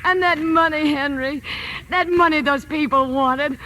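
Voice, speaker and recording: A woman's voice: sits high, unhurried, sharp tone, a little hiss or hum, rough recording. An actress playing a terrified, demanding bedridden wife in old-time radio drama, breathless and stammering, pleading as she goes. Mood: hesitant, remorseful.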